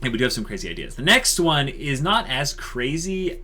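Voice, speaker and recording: Guy doing a casual youtube update, medium pitch, really into it and stressing his points. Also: sing-song, moderate pace, bright, forceful tone. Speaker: YouTube channel co-host. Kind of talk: casual unscripted channel update chat.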